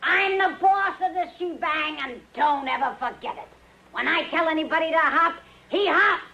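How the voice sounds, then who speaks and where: A woman playing a loud, bossy, hefty frontier saloon owner with a folksy manner in an old-time radio western. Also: sits high, moderate pace, dull audio. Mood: bossy, forceful. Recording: old radio recording, faint noise.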